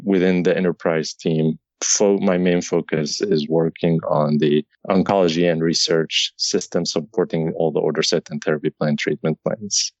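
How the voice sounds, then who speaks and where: A man's voice: sits low, dry recording, muffled recording. A man in his thirties from Syria, a pharmacist answering podcast interview questions in a conversational way, often restarting his sentences. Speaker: pharmacy informaticist guest.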